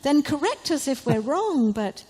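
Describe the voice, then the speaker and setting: A woman voicing smug, scheming male courtiers in a storybook, with grand, drawn-out, obsequious flattery. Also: sits high, voice pushed up. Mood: oily, fawning. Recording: live reading in a reverberant hall.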